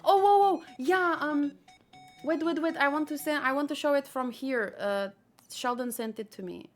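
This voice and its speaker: A woman's voice: high-pitched, moderate pace, soft background music. A woman host chatting casually and informally about manga, rambling a little as she thinks aloud.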